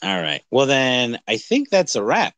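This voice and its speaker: A man co-hosting a podcast in casual movie chat, rambling in an animated, hyperbolic way. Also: mid-range voice, measured pace, no echo. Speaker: podcast co-host.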